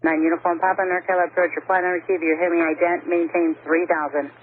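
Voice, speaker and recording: Brisk woman controller on muffled radio. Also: fairly low pitch, level tone. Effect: aviation radio. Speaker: air traffic controller. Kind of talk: procedural radio instructions. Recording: muffled, noisy air traffic radio.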